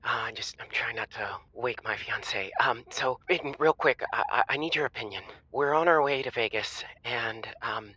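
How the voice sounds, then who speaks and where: A man's voice: mid-range voice, normal speed, few pauses, pushed and bright, breathy edge, no room sound, rough recording. A man playing a jittery, sheepish groom-to-be phoning a comedy advice show, stammering and confiding. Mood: hushed, nervous. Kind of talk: improvised comedy call-in.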